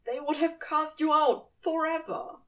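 Woman as a blunt, wry demon, lower and unhurried. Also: flat delivery, a bit bright, far from the mic. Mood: defensive. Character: male demon, defensive, apologetic, wryly teasing.

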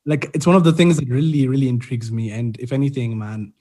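A young man from Kenya talks casually and easily, trailing through fillers as he hosts a conversation. His voice is warm and dark, with a slightly husky edge. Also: medium pitch, fast talker. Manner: relaxed, chatty, many fillers.

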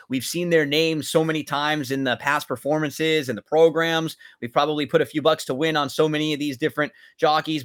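A man's voice: on the high side, fairly bright tone. A man hosting a sports interview show in a conversational, chummy way. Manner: chummy, addresses guest as buddy.

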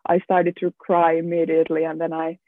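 A man's voice: a little high, completely flat delivery, a little fast, no echo. A young man talking about himself in a casual round-table chat, hesitant, restarting and repeating words often. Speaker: student guest. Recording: tinny phone-like line, dry.

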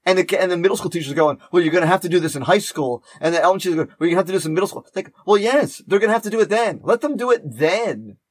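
A man in his fifties with a fairly high voice, recorded close and dry. He talks casually as a podcasting teacher, animated, repeating words for emphasis and voicing imagined remarks. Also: rapid-fire, hardly any pauses, no echo. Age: 50s.

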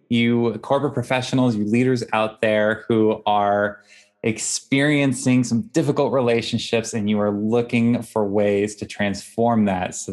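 A male podcast host with a low voice speaks with eager, gushing enthusiasm. He repeats his praise as he welcomes a guest. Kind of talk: podcast interview.

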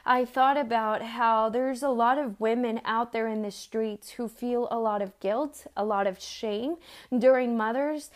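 Woman, 30s-40s, from Mexico, sermon, conversational, earnest, frequent fillers, slightly high voice. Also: fairly even intonation, unhurried. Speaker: church speaker. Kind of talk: sermon with personal stories.

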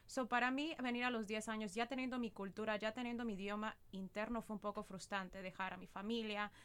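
A woman's voice: mid-range voice, fairly even intonation, brisk, a bit bright, smooth. A young woman with an Ecuadorian Spanish accent, co-hosting a podcast and talking earnestly and reflectively about learning a language. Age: young adult. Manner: earnest, reflective, laughs easily. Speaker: podcast co-host, English teacher. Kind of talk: casual podcast chat.

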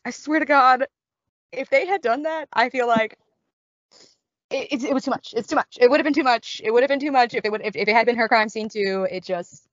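A woman co-hosting a podcast, talking excitably and repeating herself for emphasis. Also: fairly high pitch, dry recording. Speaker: podcast co-host. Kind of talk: casual chat. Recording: dry, slightly dull lo-fi audio.

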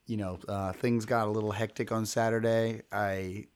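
A man's voice: lowish voice, little pitch movement, moderate pace, smooth. A man with a scratchy throat, hosting a casual sports podcast and rambling with many restarts. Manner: rambling, self-interrupting. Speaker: podcast host.

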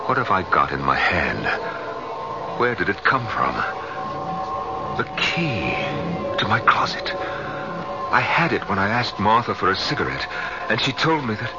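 A distraught middle-aged man doubting his own sanity. His low voice swings widely from muttering to frantic, halting as it goes. It is a dull vintage radio drama recording. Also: sing-song. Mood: dazed, brooding. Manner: halting, stammering, fretful. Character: distraught middle-aged husband losing his grip on reality. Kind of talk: 1970s radio drama acting with confessional first-person narration. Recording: dull 1970s radio recording with loud music.

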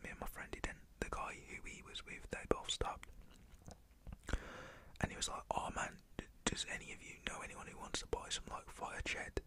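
Young British male, fully whispered ASMR storytelling, crisp and bright, casual street-slang delivery. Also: very high voice.

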